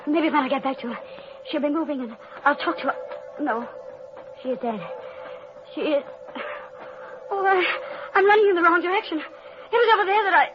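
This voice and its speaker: A frantic young woman of old-time radio drama, jealous and guilt-ridden. She speaks in halting, repeated bursts on a dull vintage recording, with music behind her. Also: high voice. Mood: panicked. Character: panicked, jealous young woman who killed her sister.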